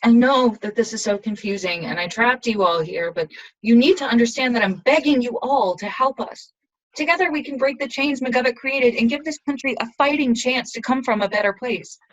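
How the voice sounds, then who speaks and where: A woman's voice: lowish voice, moderate pace, a bit bright, highs cut off. A woman playing a resolute young rebel assassin from a dystopian future in an audio drama, speaking in an earnest, intense way. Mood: pleading.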